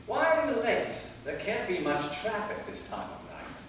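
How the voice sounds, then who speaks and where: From far off in a reverberant hall, a man acts out an exasperated centuries-old male vampire. His put-upon delivery swings widely in pitch as he reads a comedy on stage. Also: sing-song, normal speed, fairly bright tone. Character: exasperated immortal male vampire from the Middle Ages. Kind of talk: staged reading of a comedy play. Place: theater, recorded from a distance. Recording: echoey hall, far mic, faint noise.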